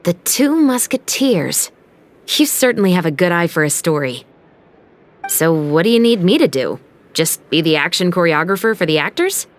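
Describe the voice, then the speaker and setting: A woman's voice: fairly low pitch, sing-song, moderate pace, slight background noise. A woman playing a firm, no-nonsense young patrol captain in a video game. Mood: businesslike, agreeable. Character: stern, assured young female patrol captain, justice-minded. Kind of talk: video game cutscene acting.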